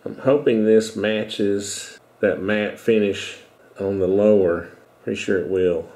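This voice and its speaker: Congested middle-aged man, mellow low voice. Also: fairly even intonation, slow pace. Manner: rambling, self-correcting. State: stuffy, congested. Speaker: video host. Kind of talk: casual show-and-tell talk. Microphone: headset.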